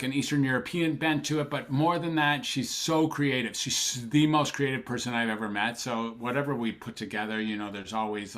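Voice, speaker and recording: Older male psychiatrist guest, casual rambling chat, warm enthusiasm, lots of ums. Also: mid-range voice. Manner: rambling, enthusiastic, many fillers and restarts. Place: Sacramento, USA.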